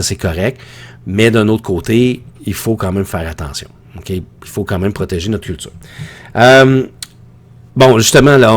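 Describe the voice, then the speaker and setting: A man with a Quebec French accent teaching an informal online lecture in a conversational, opinionated way that often digresses. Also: lowish voice. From Quebec, Canada. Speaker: high school teacher.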